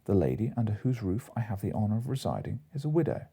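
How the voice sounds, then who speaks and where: A grandiloquent, wily gentleman from a comic storybook, acted out by a man. He is pompous and elaborately courteous. His voice is dark and mellow, with some gravel in it. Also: lowish voice, sing-song, fast talker, heavily acted. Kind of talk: audiobook character voice.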